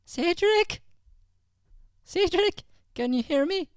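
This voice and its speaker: A man's voice: very high-pitched, animated, slow, no echo, clean studio sound, full character voice. A man playing a nervous but plucky young Irish schoolboy in improvised radio comedy. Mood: cautious, anxious.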